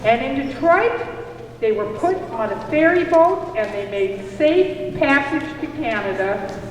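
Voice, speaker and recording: A woman storytelling theatrically in a puppet show, with a dramatic, deliberate and expressive delivery. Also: high-pitched. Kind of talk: theatrical live storytelling, addressing a puppet companion. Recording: very echoey hall, distant mic, faint noise.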